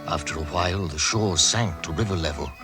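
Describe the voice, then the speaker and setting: A man narrating a story in the measured, scripted style of 1960s radio drama. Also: low-pitched. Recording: vintage radio recording under prominent music.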